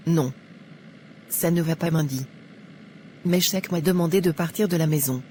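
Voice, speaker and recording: A hurt, meek cartoon wife, acted by a woman in a soft-toned, lowish voice. She pleads, with light noise behind her. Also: normal speed, close to an everyday voice. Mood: pleading. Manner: pleading, plaintive. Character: wounded, pleading young wife, meek and rejected. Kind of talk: animated moral drama acting.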